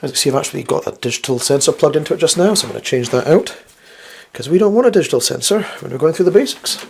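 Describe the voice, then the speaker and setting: A man with a slightly croaky, flu-worn voice hosts a casual instructional science vlog, chatty and rambling with wry, self-deprecating asides. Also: a little high, animated, very fast, slight background noise. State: getting over the flu. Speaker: host of a science video series.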